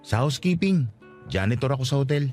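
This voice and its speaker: A man with a Filipino accent playing a humble, flattering provincial worker in his late twenties in a radio drama. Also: mid-range voice, fast, soft background music, natural voice. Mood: earnest, flattering. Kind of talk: radio drama acting in natural conversation.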